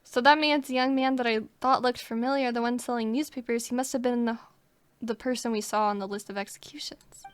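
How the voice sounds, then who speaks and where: A woman giving excited, dramatic reaction commentary to a video game, chatting casually and often exclaiming. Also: on the high side, fairly quick, clear, resonant tone. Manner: dramatic, exclaims and shouts, emotional. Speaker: gaming content creator reacting to a game.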